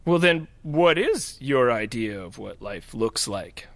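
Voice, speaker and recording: A woman's voice: rumbling low, lively intonation, takes it slowly, no room sound, heavily acted. A woman playing a perky, chatty hospital worker in an audio drama, with a gushing, cheeky, teasing manner. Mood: curious.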